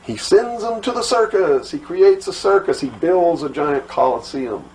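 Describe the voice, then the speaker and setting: A middle-aged American man giving an impassioned lecture, emphatic and full of rhetorical questions and deliberate repetition. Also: fairly high pitch, expressive, darkish tone. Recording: noisy old talk recording.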